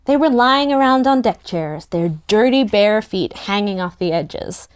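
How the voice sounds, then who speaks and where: A woman narrating a first-person story in an expressive, youthful storytelling manner. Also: medium pitch, measured pace, smooth. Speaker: narrator in a book table read.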